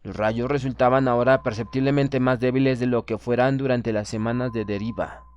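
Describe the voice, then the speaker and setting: Male audiobook narrator, mid-range voice, solemn and formal, for measured first-person dramatic literary readings. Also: medium pitch, fairly even intonation, a little mellow, clear, resonant tone, lo-fi sound.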